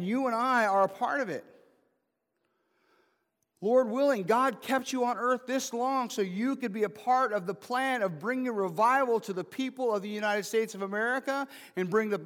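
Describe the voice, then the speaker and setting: A man's voice: very high voice, fairly bright tone. A man in his fifties preaching an informal sermon as pastor, mixing joking asides with emphatic calls to the congregation. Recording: preached in a reverberant hall.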